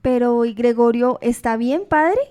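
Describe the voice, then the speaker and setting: A woman's voice: fairly high pitch, a little slow, warm tone, smooth, close to an everyday voice. A woman playing a caring, anxious young sister in an amateur radio play. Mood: concerned.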